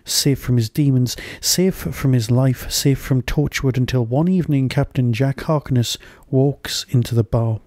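A man's voice: medium pitch, unhurried, few pauses, a little mellow, no echo, clean studio sound. A man hosting a podcast, delivering a review as a monologue and often answering his own rhetorical questions.

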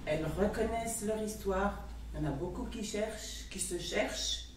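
A speaker in a public discussion, halting with many fillers, recorded by a far camera in a room. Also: medium pitch, very echoey, a little hiss or hum. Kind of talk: debate contribution.

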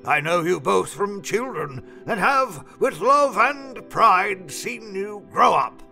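Slow, gravelly kindly old gentleman. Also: high voice, lively intonation, full character voice. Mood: fond, moved. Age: older. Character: kindly elderly English solicitor giving a heartfelt toast. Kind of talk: audio drama acting. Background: music.

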